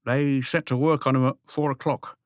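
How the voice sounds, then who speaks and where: A man's voice: mid-range voice, little pitch movement, normal speed, no echo, pitched above the performer's own voice, lightly acted. A man voicing a grumbling neighborhood police inspector in an audiobook, informal and complaining.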